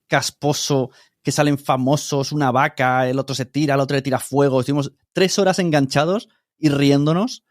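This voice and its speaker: A man's voice: mid-range voice, fairly even intonation, moderate pace, no echo, studio quality. A middle-aged man with an accent from Spain, talking casually and informally as an interview guest, explaining with examples. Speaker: podcast producer, interview guest.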